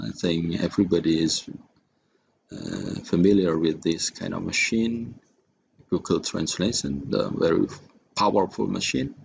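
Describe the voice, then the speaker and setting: Indonesian accent guy doing a screen tutorial, gravelly n hesitant, bit of background noise. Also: sits low, dull audio. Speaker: software tutorial presenter. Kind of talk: unscripted screen-recorded tutorial.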